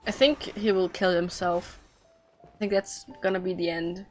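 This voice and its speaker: A woman's voice: fairly low pitch, fairly even intonation, moderate pace, a little mellow. A woman doing casual live game commentary as a streamer, offhand and slangy. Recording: game music faintly behind. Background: video game music.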